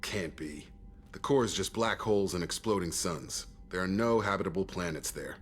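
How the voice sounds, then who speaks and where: An actor playing a gruff, impatient squad soldier in a video game, blunt and doubting. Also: male voice, low-pitched, moderate pace. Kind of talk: dramatic video game dialogue.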